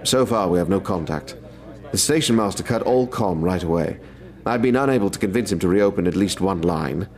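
An actor playing a brisk male military major who briefs in a businesslike way. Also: low-pitched, darkish tone. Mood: serious.

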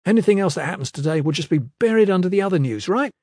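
Man acting a high, agitated young man, indignant. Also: huge pitch swings, normal speed, slightly rough, dry recording, studio quality, heavily acted. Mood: bitter, indignant. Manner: agitated, insistent, rhetorical. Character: furious, indignant young man in a fantasy tale.

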